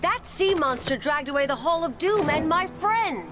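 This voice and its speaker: A fierce young villainess from a video game. The voice is high and animated, bossy and demanding, with loud music behind it. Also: female voice, moderate pace. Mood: angry demanding. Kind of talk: video game cutscene acting.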